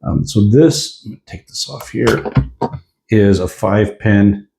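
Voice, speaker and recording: A man's voice: on the low side, a little slow, gravelly, background noise. A man giving a casual hands-on product review in an informal, explanatory way.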